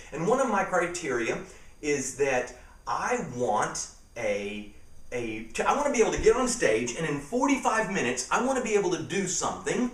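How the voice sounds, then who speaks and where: A man's voice: fairly high pitch, roomy sound, recorded from a distance. A middle-aged man talking casually and emphatically about his school assembly show, repeating key phrases for effect. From Texas. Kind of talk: casual promotional talk to camera.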